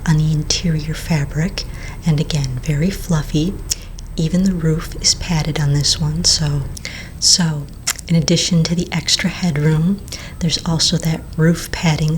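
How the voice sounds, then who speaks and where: Low, breathy whispering vampire saleswoman, acted by a woman. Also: slight background noise. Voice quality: soft, breathy, mostly whispered. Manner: gentle, unhurried, reassuring. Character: friendly, patient female vampire coffin sales agent. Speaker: ASMR roleplay performer. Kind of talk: ASMR soft-spoken sales roleplay.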